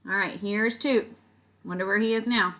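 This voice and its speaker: A woman's voice: mid-range voice, moderate pace. A woman reading a picture book aloud to children like a teacher, stretching words and adding casual explaining asides to her young listeners. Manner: animated storytelling, stretches words, chats directly to young listeners.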